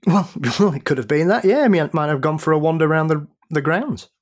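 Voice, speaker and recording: A man's voice: a little high, brisk. A man, a podcast guest who laughs often and recounts historical stories in detail. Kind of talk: casual podcast conversation.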